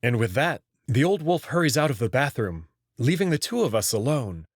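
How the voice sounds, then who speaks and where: A man's voice: mid-range voice, sing-song, normal speed, no echo, studio quality. A man narrating a scripted story expressively as the host of a visual novel reading channel.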